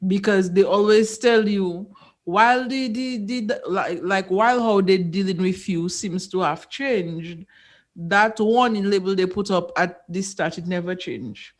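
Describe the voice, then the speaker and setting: A woman with a Caribbean accent in a casual group chat, telling stories in an animated way and acting out exchanges. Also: medium pitch, measured pace. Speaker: podcast panelist.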